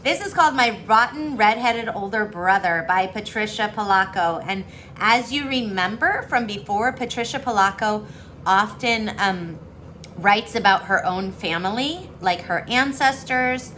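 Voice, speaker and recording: Bright-voiced woman reading aloud to children. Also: medium pitch, lively intonation, unhurried, slight background noise. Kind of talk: online storybook read-aloud with casual chat. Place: indoors, on a video call.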